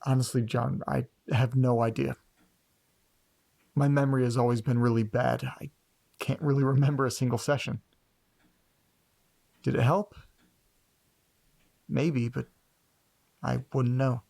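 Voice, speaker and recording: A creaky, medium-pitched male voice from an actor plays an anxious man who doubts himself. He speaks hesitantly and earnestly, as naturalistic dialogue in a horror audio drama about eerie mysteries. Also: level tone, measured pace, long pauses, warm tone. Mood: subdued, vulnerable.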